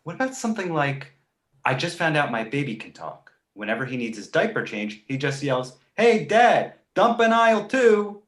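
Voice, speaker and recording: A man voicing a cheeky, crude talking baby boy in an animated comedy table read, sarcastic and blunt. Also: a little high, normal speed. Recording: roomy echo.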